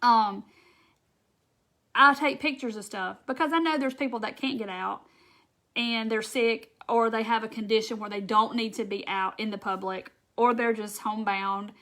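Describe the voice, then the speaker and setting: Host, female, Southern US accent, bright higher voice, chatty and folksy on a craft live stream. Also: fairly even intonation, normal speed. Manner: chatty, folksy, laughs often, addresses viewers by name. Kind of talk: casual chat reading viewer comments.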